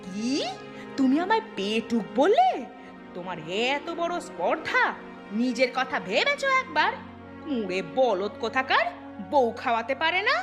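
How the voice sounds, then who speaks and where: A woman's voice: high voice, huge pitch swings, unhurried, music in the background, full character voice. A woman playing a gluttonous, quarrelsome wife in a cartoon, nagging and melodramatic. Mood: indignant.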